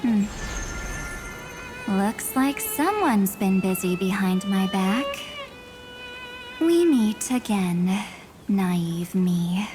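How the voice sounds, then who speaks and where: A slow, coolly taunting villainess, echoing. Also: female voice, medium pitch, lively intonation. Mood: amused, probing. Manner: measured, condescending, teasing. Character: cool, taunting woman antagonist with quiet confidence. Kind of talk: video game voice acting. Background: music.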